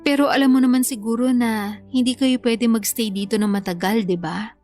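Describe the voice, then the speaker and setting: A woman's voice: fairly high pitch, fairly even intonation, moderate pace, a little mellow, faint music behind, lightly acted. A woman playing a sensible, sympathetic friend who reasons and gives frank advice in a Filipino radio-style drama. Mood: frank, advising. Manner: reasoning, advising.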